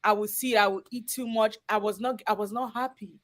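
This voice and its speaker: A woman's voice: mid-range voice, steady tone, brisk, fairly bright tone. Interview guest: woman, Cameroonian accent, earnest conversational storyteller, mid-range voice. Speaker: guest, chef and business owner. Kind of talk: personal storytelling in a live interview.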